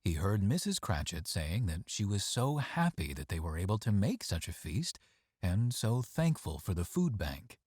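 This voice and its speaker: A man reading a story aloud as scripted narration, with dramatic, measured phrasing. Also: low-pitched, very animated, a little mellow, breathy edge, no room sound, clean studio sound. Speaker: story reader.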